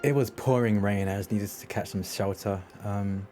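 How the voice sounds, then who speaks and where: Guy doing a cheeky vampire for a tabletop game, flippant, kinda low, soft mellow tone. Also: normal speed, soft background music, close to an everyday voice. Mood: nonchalant, evasive. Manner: flippant, nonchalant. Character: cheeky, evasive vampire man talking his way out.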